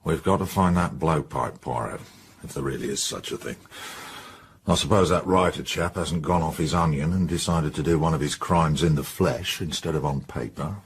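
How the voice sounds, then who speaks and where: Deep-voiced English police inspector. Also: male voice, normal speed, a little hiss or hum. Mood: probing, businesslike. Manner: businesslike, colloquial questioning. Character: gruff, brisk English police inspector, sceptical and plain-spoken. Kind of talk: radio drama acting.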